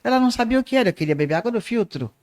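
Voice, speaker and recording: Older woman, soft Northeastern Brazilian accent. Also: fairly high pitch, lively intonation. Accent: Northeastern Brazilian, softened. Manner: unhurried storyteller with small restarts. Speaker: guest, art teacher and radio presenter. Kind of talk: reminiscing interview storytelling.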